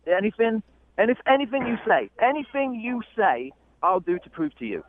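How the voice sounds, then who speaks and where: Man, London English accent, high voice, animated swings, exasperated phone-in caller, slangy, repetitive, muffled noisy line. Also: fairly quick. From London, England. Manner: exasperated, slangy British phrasing, repeats himself. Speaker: caller on a radio dating segment. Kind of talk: heated conversational phone-in.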